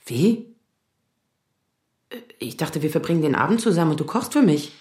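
Strained thirtysomething daughter in a roomy echo. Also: female voice, low voice, big pitch swings, normal speed, darkish tone. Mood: subdued. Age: 30s. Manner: controlled, exasperated, earnest. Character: overworked 35-year-old lawyer daughter, strained but restrained. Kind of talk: acted German audio drama dialogue.

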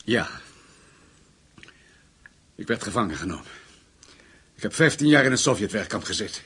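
An anxious, submissive father in a radio drama. The voice is very husky and breathy, with lively rises and falls. He is hesitant and pleading. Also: lowish voice, normal speed, full character voice. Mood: guarded, resigned. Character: anxious father, former SS administrator, submissive under threat.

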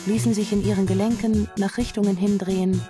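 A woman narrating a literary science-fiction radio drama in a measured, precise manner. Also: medium pitch, flat delivery, lightly acted. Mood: measured, storytelling. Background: music.

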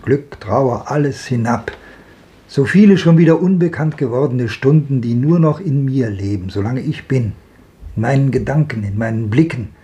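An actor playing a melancholy old man who recalls lost hours in a quiet, elegiac way, in a 1950s radio drama. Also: mid-range voice, normal speed, dull audio, close to an everyday voice. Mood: wistful.

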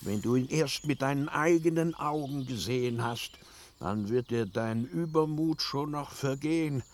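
Man acting a creaky, scheming foster father. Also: mid-range voice, unhurried, close to an everyday voice. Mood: wheedling, hurt. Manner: wheedling, ominous, theatrical, dragging out warnings. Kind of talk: fantasy radio play acting. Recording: radio play with music underneath.

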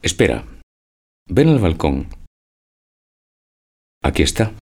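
An actor playing a gentle, dreamy old husband who schemes, declaiming tenderly in a theatrical audio drama. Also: male voice, low voice, very animated, slow pace, creaky, slightly rough, exaggerated voice. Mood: solemn, secretive. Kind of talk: theatrical radio drama acting.

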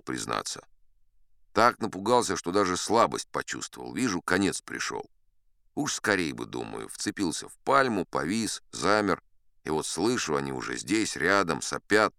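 A man's voice: deep voice, gravelly, a bit bright, no echo, clean studio sound, exaggerated voice. A man playing an older sea captain who spins a yarn in a dramatized audiobook, wry, boastful and conversational. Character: old sea captain storyteller, folksy, boastful, dignified.

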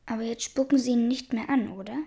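A probing young daughter in a literary radio play. Her voice is soft-edged and breathy, fairly high. She presses her questions in a hushed, insistent way. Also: fairly even intonation, fast. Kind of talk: literary radio drama acting.

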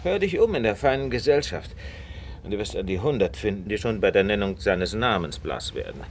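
An actor playing a suave, incisive gentleman detective in a German radio crime drama, speaking in an articulate, courteously condescending way. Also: on the low side, animated, a little fast, a little hiss or hum. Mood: grave, dramatic.

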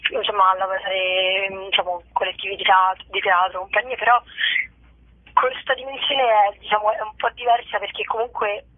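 A woman's voice: mid-range voice, little pitch movement, moderate pace, dry recording. A woman in a casual interview, talking in a hesitant, rambling way as a guest actress. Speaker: stage actress being interviewed. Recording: dry tinny phone line.

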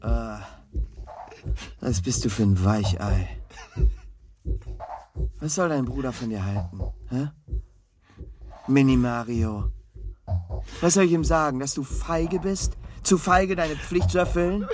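A man's voice: mid-range voice, slow, pauses often, over music, lightly acted. An actor playing a bullying thug in a modern radio drama, goading and menacing as he leans on a younger accomplice. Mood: contemptuous, aggressive.

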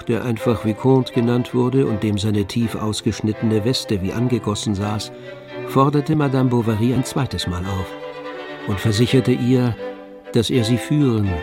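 A man narrating a literary radio drama from a script in a measured storytelling manner. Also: mid-range voice. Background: music with violin.

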